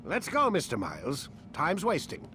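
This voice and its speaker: A man's voice: a little high, wildly swooping pitch, moderate pace, noisy background. Imperious, cold older male scientist. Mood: impatient. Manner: imperious, clipped, disdainful. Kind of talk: dramatic video game acting.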